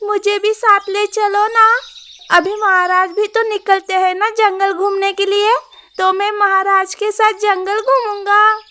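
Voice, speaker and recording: A woman's voice: very high-pitched, little pitch movement, unhurried, sharp tone, pitched above the performer's own voice, heavily acted. A woman voicing a small, eager rabbit who pleads to come along in a children's animal story. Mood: eager, pleading.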